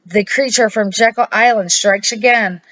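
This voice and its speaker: A woman's voice: mid-range voice, steady tone, normal speed, fairly bright tone, lightly acted. An older woman voicing a grim father in a children's storybook. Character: grim father blaming a mysterious creature. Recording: dull lo-fi home recording.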